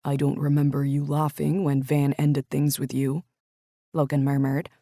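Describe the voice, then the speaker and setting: Woman reading protective young twin brother, quiet, low, defending. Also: completely flat delivery, normal speed, no echo, clean studio sound.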